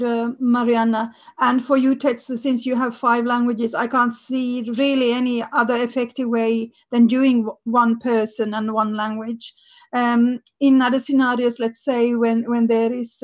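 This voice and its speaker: A woman on a live panel who explains calmly and cites research findings. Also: fairly high pitch.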